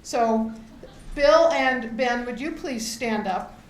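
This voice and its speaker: A middle-aged woman giving a loud, grateful, enthusiastic speech through a microphone to open a membership meeting. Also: a little high, slow pace, slight background noise. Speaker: outgoing board member opening a meeting. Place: event hall with an audience. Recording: echoey hall with a faint crowd.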